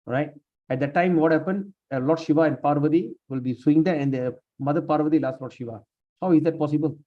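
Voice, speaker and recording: A man leading a scripture class, speaking haltingly with many fillers and restarts while he has lost his voice. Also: fairly high pitch. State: lost his voice, sprained neck. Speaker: class leader. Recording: dull lo-fi room recording.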